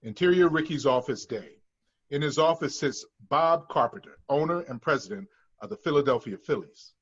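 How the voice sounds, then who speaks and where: Husky man reading script directions. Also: fairly high pitch, animated, moderate pace, lo-fi sound. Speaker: narrator reading screenplay stage directions. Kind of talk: scripted narration at a table read.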